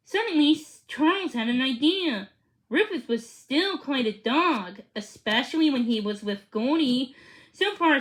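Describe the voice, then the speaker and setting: A woman reading a children's storybook aloud in a halting way, stumbling and re-reading words. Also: on the high side, a bit bright. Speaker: reader of a children's book.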